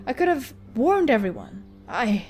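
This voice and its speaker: A woman's voice: fairly high pitch, sing-song, measured pace, darkish tone, soft background music. A woman acting an anxious, guilt-ridden young woman. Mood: distraught. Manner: fretful, broken-off sentences.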